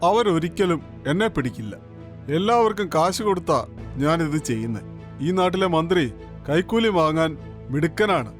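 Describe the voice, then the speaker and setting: A man voicing a brash male thief who boasts and coaxes in an animated storybook, over music. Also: on the high side, normal speed, lightly acted. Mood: cocky. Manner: boastful, coaxing. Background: background music.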